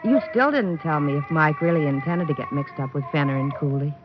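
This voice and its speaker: An actress playing a poised, teasing sweetheart of a detective in an old-time radio drama, speaking archly. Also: female voice, deep voice, fairly quick, natural voice. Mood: curious. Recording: muffled old radio recording, faint music.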